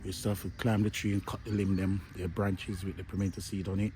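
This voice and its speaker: A man's voice: deep voice, little pitch movement, fast talker, noisy background. A man with a Jamaican accent, chatting casually to his viewers as a travel vlogger while walking through a hotel garden, now and then dropping into a murmur. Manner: chatty, addresses viewers as "my people", often drops to a murmur.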